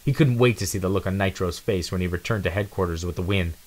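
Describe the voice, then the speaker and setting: A man's voice: sits low, fast, a little mellow. A man giving a dramatic scripted reading of an adventure storybook as narrator.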